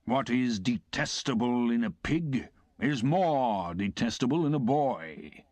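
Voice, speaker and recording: A man voicing a severe, sanctimonious would-be preacher who moralizes in drawn-out phrases. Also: medium pitch, lively intonation, darkish tone, no echo, pitched above the performer's own voice. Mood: moralizing.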